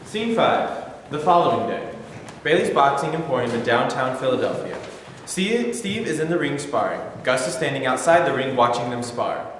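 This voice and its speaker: A man reading stage directions aloud in a plain, measured way. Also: mid-range voice, animated, fairly bright tone, slight background noise. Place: large rehearsal studio. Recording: echoey studio.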